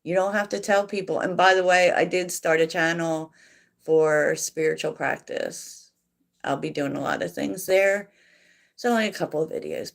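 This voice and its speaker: A woman hosting a tarot livestream, chatting softly and reflectively with viewers and laughing often. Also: low-pitched, vocal fry. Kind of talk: casual livestream chat answering viewers.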